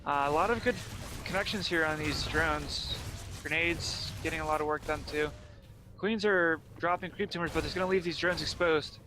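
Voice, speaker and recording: A young man from Pennsylvania giving steady, explanatory play-by-play commentary on a strategy game. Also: on the high side, normal speed. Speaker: play-by-play caster. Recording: faint background noise.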